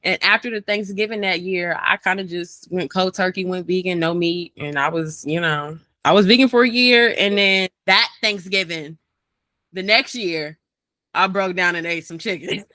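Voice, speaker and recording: Young female rapper, bright and lively, talks fast and loose, laughs easily; dry close mic. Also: on the low side, no echo. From Maryland, USA. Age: young adult. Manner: animated, chatty, laughs often. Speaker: interview guest, rapper. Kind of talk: casual interview chat.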